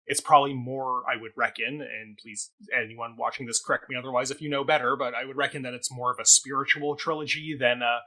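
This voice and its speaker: A man's voice: mid-range voice, fairly even intonation, fast talker, hardly any pauses, pushed and bright, low-quality recording. A man co-hosting a theatre review show, talking casually and wordily, qualifying his points as he goes. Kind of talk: casual, discursive review talk.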